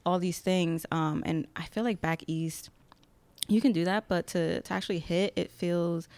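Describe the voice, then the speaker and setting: A young woman from Connecticut, a podcast guest chatting casually, relaxed and laughing often. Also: fairly low pitch, normal speed, no echo. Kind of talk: casual interview chat.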